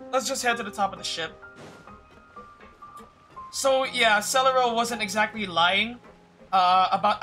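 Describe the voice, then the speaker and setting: A streamer talks casually over a game in a bright, forward voice. The delivery is halting, circling back over phrases while thinking. Faint music sits in the background. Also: mid-range voice. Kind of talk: casual live game commentary and reading dialogue aloud.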